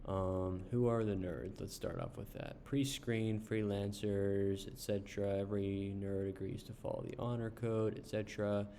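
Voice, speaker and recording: A man casually reviewing an online service while browsing its pages, unhurried, with frequent ums and uhs. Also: fairly low pitch, steady tone, mellow, a little hiss or hum. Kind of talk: casual screen-share review.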